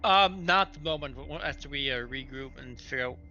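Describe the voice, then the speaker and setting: A man's voice: mid-range voice, fairly quick, bright, forceful tone, highs cut off, soft background music. A man talking casually as a player in a tabletop roleplaying game.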